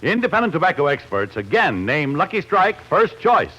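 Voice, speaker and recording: Male 1940s commercial announcer, slightly high, declamatory and hugely animated, dull old-radio sound. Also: normal speed, a little hiss or hum. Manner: declamatory, emphatic. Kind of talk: 1940s radio cigarette advertisement. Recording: muffled 1940s radio broadcast.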